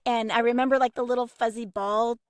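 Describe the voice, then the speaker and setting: Female podcast guest, high voice, conversational, dry recording. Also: fairly even intonation, a little fast, clean tone, no room sound. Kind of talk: casual group chat.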